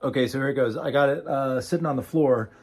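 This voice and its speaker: A man's voice: mid-range voice, quick pace. A man talking casually and off the cuff as a hobbyist showing a homemade project, thinking aloud with hesitations and a wry, self-deprecating manner. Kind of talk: casual unscripted demo vlog.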